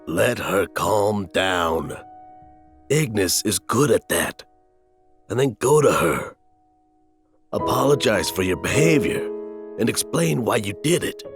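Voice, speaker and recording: A man voices a patient, wise advisor in an audio drama. His voice is very deep and measured, gently questioning, with music clearly behind it. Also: expressive. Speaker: voice actor. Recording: music under the voice.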